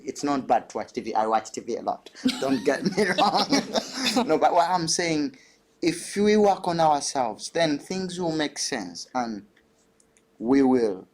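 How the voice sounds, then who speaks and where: A man from Uganda, a dance artist, telling stories animatedly on a panel and laughing often. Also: medium pitch. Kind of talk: panel discussion.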